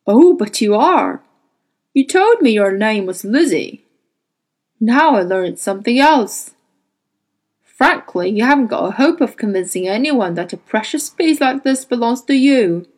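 A woman voicing a smooth, calm, powerful wealthy gentleman collector, courteous but with a cold edge, in a storybook reading. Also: fairly high pitch, unhurried. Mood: coolly righteous.

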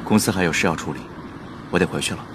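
An actor playing a quiet, restrained and wistful young man from a rich family in an audio drama. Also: low-pitched, quick pace, background noise, close to an everyday voice. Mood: gentle, reserved. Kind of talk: Chinese audio drama acting.

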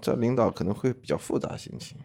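A man's voice: medium pitch, fast, gravelly, dark tone. A man chatting casually as a guest, earnest and repeating himself for emphasis. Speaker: podcast guest. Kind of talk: casual chat.

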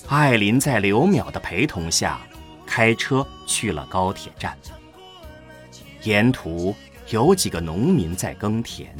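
A man narrating an audio drama in a scripted storytelling style. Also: fairly low pitch.

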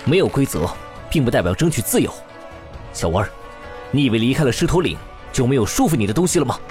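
A man playing a stern, probing roc demon king and mentor in a fantasy audio drama, husky and imperious. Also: mid-range voice, big pitch swings, music in the background, close to an everyday voice. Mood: challenging. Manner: measured, challenging, imperious.

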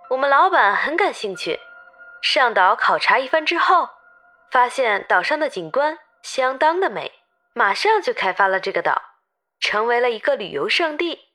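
Bright, animated female tour guide, acted by a woman. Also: high voice, full character voice. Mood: informative. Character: lively female tour guide telling an island legend to travelers. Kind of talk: dramatized storytelling in an audio novel.

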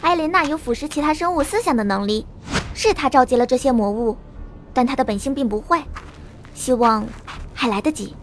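Earnest high-voiced young girl. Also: animated, fairly quick, a little hiss or hum. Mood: earnest, hopeful. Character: earnest young girl, determined believer in others' goodness. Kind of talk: Chinese audio drama character acting.

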